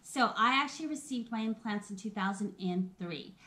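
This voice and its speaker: A woman's voice: mid-range voice, lively intonation, moderate pace, bright, forceful tone. A woman in her thirties hosting a casual live video, chatty and personal and often laughing as she talks straight to her viewers. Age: 30s. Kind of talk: casual live-stream chat.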